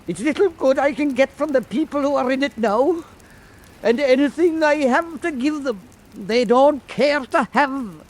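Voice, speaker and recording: Actor as a high-voiced old seaman. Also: moderate pace. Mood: wistful, disillusioned. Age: older. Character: old returned island seaman, lonely and disillusioned. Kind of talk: radio drama monologue.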